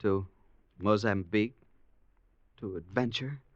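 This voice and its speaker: An actor playing an earnest, romantic ship passenger in a 1940s radio drama, polite, hesitant and wistful. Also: male voice, lowish voice, slow, no echo, dull audio.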